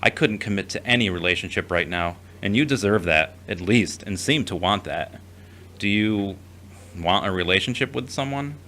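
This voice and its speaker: A man acting in an audio drama as a gentle, earnest, emotionally fragile young man, speaking in a measured, reassuring way. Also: sits low.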